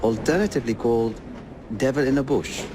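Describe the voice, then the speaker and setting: An actor playing a gentle, bookish Victorian gentleman with a polite, formal manner in a video game cutscene. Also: medium pitch, a little fast, natural voice. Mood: courteous, gentle. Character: Henry Green, courteous, bookish, gentle young Victorian gentleman. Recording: clear ambient noise behind dialogue.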